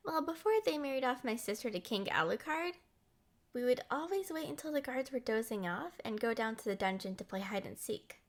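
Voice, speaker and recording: A young woman acting a playful, headstrong, lovestruck princess in an expressive roleplay audio. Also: a little high, moderate pace. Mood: giddy, mischievous. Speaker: voice actor.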